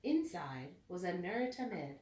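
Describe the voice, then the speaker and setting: A woman's voice: on the low side, very animated, a little slow, dark tone. Woman reading aloud in an echoey room. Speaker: children's story reader. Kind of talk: read-aloud storybook narration. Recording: echoey room, distant mic.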